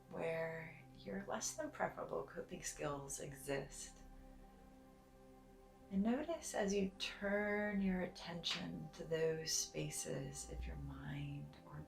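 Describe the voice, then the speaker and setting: A woman leading a guided meditation in a soft, soothing, deliberate way, sometimes breathy, with long pauses. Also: low-pitched, roomy sound. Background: soft music.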